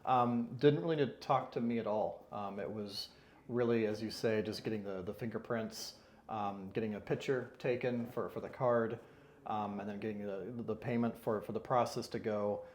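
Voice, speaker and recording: A man's voice: lowish voice, fairly even intonation, normal speed, a little mellow, roomy sound, a little hiss or hum. A man being interviewed in an office, answering casually with many fillers and restarts. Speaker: interviewed client. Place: consulting firm office.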